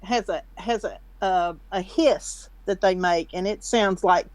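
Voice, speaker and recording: Slow-talking woman telling a story. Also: fairly low pitch, dry recording. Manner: halting, restarts her sentences. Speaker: caller. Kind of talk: casual storytelling in a voice chat. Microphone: computer mic in a voice chat.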